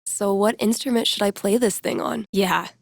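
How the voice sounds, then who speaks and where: A woman's voice: medium pitch, steady tone, moderate pace, vocal fry, no room sound, natural voice. A woman voicing a wry, casual young American record store DJ in a video game, muttering to herself with self-amusement. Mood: upbeat, wry. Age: young adult. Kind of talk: naturalistic video game voice acting.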